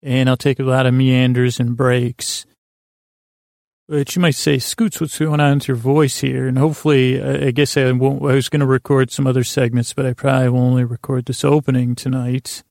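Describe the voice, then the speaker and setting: A man with a tired, gravelly creak hosts a bedtime podcast. He meanders gently in an even, subdued line, hesitating and doubling back with self-deprecating asides. The sound is close and dry. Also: medium pitch, brisk, no room sound. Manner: gentle, soothing, aimed at putting listeners to sleep. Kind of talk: rambling bedtime monologue.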